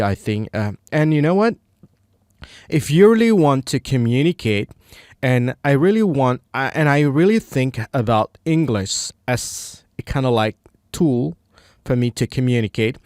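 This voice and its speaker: Slow-talking Indonesian man, clear voice. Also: mid-range voice, darkish tone, no room sound, clean studio sound. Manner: unscripted, many restarts and fillers. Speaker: language learner. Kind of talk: casual monologue.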